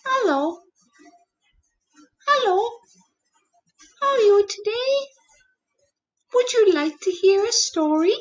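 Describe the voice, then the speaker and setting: A woman's voice: very high-pitched, animated, slow, long pauses, dull audio, pitched above the performer's own voice, exaggerated voice. A friendly little puppet, voiced by a woman, pipes up far above an everyday speaking voice. It greets children in a cheerful sing-song, like a character in a story-time show. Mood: friendly, eager.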